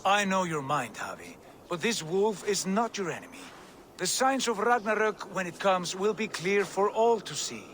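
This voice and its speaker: A man's voice: sits high, very animated, moderate pace, a bit bright, slight background noise. A man playing an earnest, steadfast Norse god in a dramatic video game, reasoning and conciliatory.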